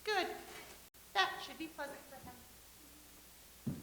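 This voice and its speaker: A woman's voice: very high voice, slow pace, bright, forceful tone, echoey room, a little hiss or hum, full character voice. A woman reading the part of an anxious stage actress, hesitant and stammering. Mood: nervous. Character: anxious stage actress awaiting a late colleague.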